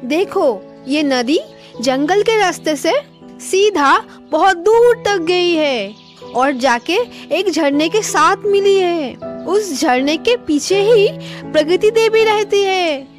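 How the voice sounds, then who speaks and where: Very high, slow little bird. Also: female voice, expressive, faint music behind, exaggerated voice. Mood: concerned, helpful. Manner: explanatory. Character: helpful little bird guide. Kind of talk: children's cartoon acting.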